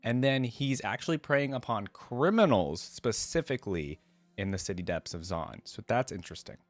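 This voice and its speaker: A man's voice: lowish voice, animated, moderate pace, no room sound, soft background music. A man hosting a reaction video, talking casually and reading aloud in a conversational way, as if thinking aloud.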